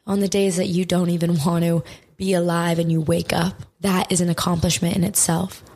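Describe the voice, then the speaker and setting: A young woman with a hoarse, raspy voice, giving an emphatic motivational talk to her viewers and swearing often for stress. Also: on the low side, level tone, brisk, a little mellow. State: hoarse from crying.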